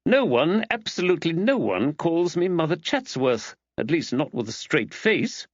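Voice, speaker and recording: A man's voice: fairly high pitch, big pitch swings, moderate pace, no echo, highs cut off, pitched above the performer's own voice. A man voicing an arch, gossipy village woman priest with wry, exaggerated mimicry in an audiobook. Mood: arch, indignant. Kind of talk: audiobook character dialogue.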